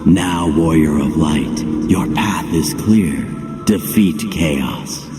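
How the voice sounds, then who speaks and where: Game cutscene role: grave, commanding bodiless guide, deep pitch, cavernous echo. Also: male voice, exaggerated voice. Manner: grave, portentous, deliberate. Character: solemn, commanding disembodied male guiding voice. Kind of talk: video game cutscene acting. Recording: reverberant hall echo, music behind.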